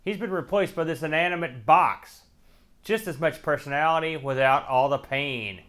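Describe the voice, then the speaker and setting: A man's voice: a little high, normal speed, fairly bright tone. A man hosting a retro gaming show, giving casual, wry unboxing commentary in folksy slang as he thinks aloud while handling items.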